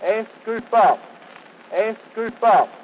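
A man teaching a French pronunciation lesson, reading verb drills in a measured, repetitive way. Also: high-pitched, muffled recording, a little hiss or hum. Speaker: language teacher.

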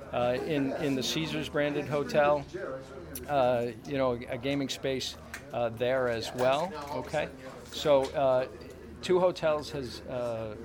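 A man speaking as a company spokesman at a press conference, in halting, hesitant answers. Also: medium pitch. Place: indoor press conference in clubhouse room. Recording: noisy location recording, room ambience.

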